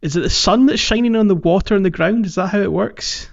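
A man co-hosting a podcast, chatting casually about a video game in a rambling, halting, jokey way. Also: high-pitched, gravelly. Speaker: podcast co-host. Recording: dull lo-fi audio, roomy echo.

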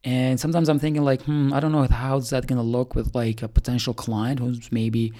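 A man's voice: medium pitch, level tone, fairly quick, mellow. A man, a startup founder, giving a casual, rambling solo update full of fillers and restarts. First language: Albanian.

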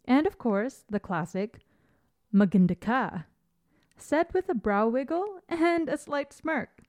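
A woman's voice: sits high, big pitch swings, a little slow, darkish tone. A young woman speaking as a podcast host, introducing and interviewing in an articulate, composed way.

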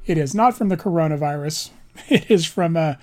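A man with a raspy voice from pollen, hosting a music show in a rambling, chatty, self-deprecating way. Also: on the high side, normal speed. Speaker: radio show host. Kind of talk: casual music show talk.

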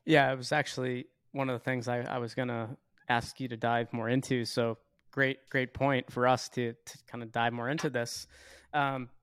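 A man's voice: mid-range voice, level tone, moderate pace, fairly bright tone, clear, resonant tone, dry recording. A man hosting a podcast interview, asking questions in a friendly way and stumbling over his words a little. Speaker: podcast host.

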